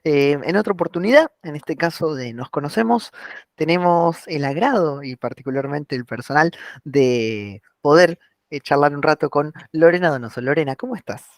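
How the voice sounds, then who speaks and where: A man's voice: on the high side, lively intonation, moderate pace, no echo, clean studio sound. A man with a Rioplatense Spanish accent, hosting a casual interview and circling hesitantly around his questions.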